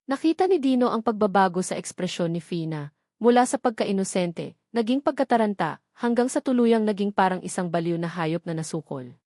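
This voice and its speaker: Filipino woman reading a dramatic story audiobook, mid pitch, really dry sound. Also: expressive, fairly quick, dark tone, no echo. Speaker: audiobook narrator. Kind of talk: dramatic scripted story narration.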